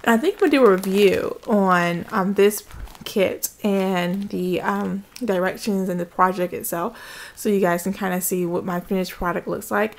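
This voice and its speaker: Woman, creaky mid-pitched voice, chatty and enthusiastic, casual haul vlog, handheld camera at home. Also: fairly even intonation, normal speed. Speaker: craft vlogger. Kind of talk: casual haul show-and-tell. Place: indoors at home. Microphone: handheld camera, speaker behind it.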